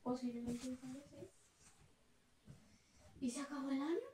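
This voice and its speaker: A woman's voice: high-pitched, measured pace, mellow. A young woman with a Colombian Spanish accent, chatting reflectively about travel as a vlogger. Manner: chatty, reflective, laughs easily. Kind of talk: casual chat. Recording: echoey room with faint noise.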